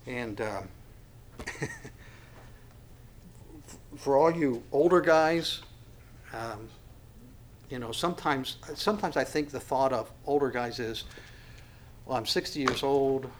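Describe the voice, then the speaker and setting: A man's voice: medium pitch, takes it slowly, long pauses, slight background noise. A man in his sixties teaching a Bible study in a folksy, self-deprecating way, with many fillers and restarts. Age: 60s. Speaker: pastor.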